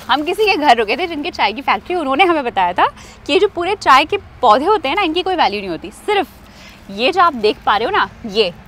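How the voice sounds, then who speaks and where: A woman's voice: sits high, pushed and bright, slight background noise. A young woman co-hosting a travel vlog, chatting playfully and explaining things outdoors at a tea estate. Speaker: travel vlogger, co-host. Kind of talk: casual travel vlog chat.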